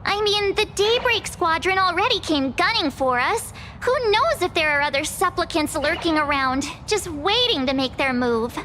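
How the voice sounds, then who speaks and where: A woman voicing a perky, chatty teenage girl adventurer in an anime-style video game, expressive, casual and teasing. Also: extremely high pitch, moderate pace, hardly any pauses, a little hiss or hum, full character voice. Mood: earnest, wary. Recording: background music under the voice.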